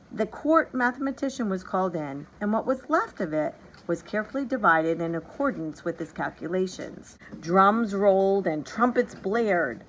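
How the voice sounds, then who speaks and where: A woman narrating a storybook aloud in an expressive, dramatic and deliberate way. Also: mid-range voice, a little hiss or hum, rough recording. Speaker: teacher reading a storybook aloud.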